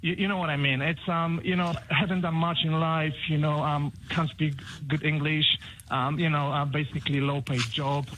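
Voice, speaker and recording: Polish guy calling in, lots of ums, kinda high voice, faint hiss on the line. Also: flat delivery, moderate pace, a bit bright, poor audio. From Poland. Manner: nervous, hesitant, many ums. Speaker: caller. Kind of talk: phone-in call.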